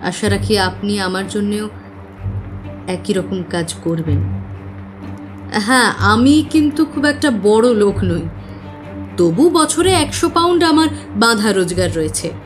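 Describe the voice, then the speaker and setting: A woman playing an anxious, earnest client of modest means in an audio drama, speaking deliberately. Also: medium pitch, lively intonation, faint music behind, natural voice. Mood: earnest, hesitant. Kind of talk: Bengali audio drama acting.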